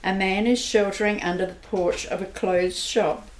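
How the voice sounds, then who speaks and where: A woman narrates a homemade radio play in a steady, plain storytelling manner. Faint hiss sits under her voice. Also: mid-range voice, moderate pace, poor audio.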